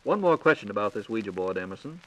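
Brisk American man, 1940s radio announcer. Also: fairly low pitch, big pitch swings, fairly bright tone, poor audio. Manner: polished announcer delivery, courteous. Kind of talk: 1940s radio hosting, scripted. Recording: 1940s radio transcription disc.

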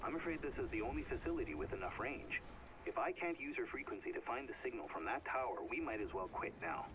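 An actor playing a learned, gloomy scientist companion in a video game, formal and measured in delivery. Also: male voice, medium pitch, animated, lightly acted. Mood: worried. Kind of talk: video game dialogue acting. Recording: distant voice with clear background noise.

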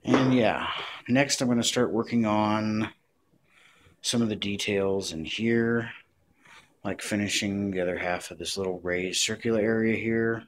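A man's voice: fairly low pitch, fairly even intonation, unhurried, slight background noise. A man giving a casual hands-on craft tutorial, explaining step by step in plain words.

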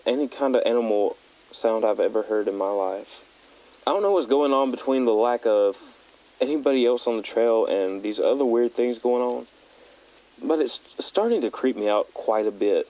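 A young man playing an uneasy teenage backpacker recording an audio diary in a measured, hesitant, understated way. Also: mid-range voice, a little mellow, lightly acted. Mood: creeped out. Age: young adult. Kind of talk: found-footage audio log narration. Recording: dull lo-fi handheld tape recorder.

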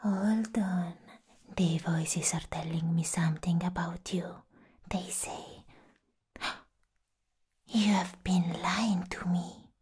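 Casting: woman as a giddy, menacing comic-book villainess for ASMR roleplay, very breathy whisper, unhurried teasing delivery. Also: fairly low pitch, fairly even intonation, darkish tone, heavily acted. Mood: mad, conspiratorial. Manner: teasing, playful, drawn-out.

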